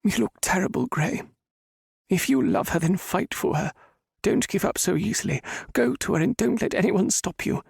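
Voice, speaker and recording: A man voicing a gentle, grieving middle-aged mother who speaks softly and tearfully. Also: high voice, animated, normal speed, creaky, breathy edge, no echo, studio quality, voice pushed up, heavily acted. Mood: urging. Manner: soft, tearful and pleading.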